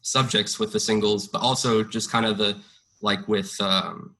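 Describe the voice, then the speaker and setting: A man's voice: on the low side, fairly even intonation, a little slow, pushed and bright. A young man interviewing a musician for student radio, asking questions hesitantly with frequent uhs and restarts.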